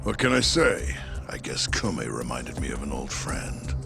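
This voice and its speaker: A gravelly hothead yakuza in a game, sneering and blunt, voice swings around, music behind. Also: male voice, very deep voice, very animated, a little slow, exaggerated voice. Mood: evasive, sneering. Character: defiant, hot-tempered, foul-mouthed yakuza man in custody. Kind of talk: video game cutscene acting.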